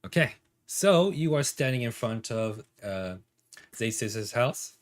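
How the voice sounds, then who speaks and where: A man's voice: mid-range voice, expressive, takes it slowly. A man acting as game master, narrating a tabletop role-playing game casually in informal, improvised phrasing.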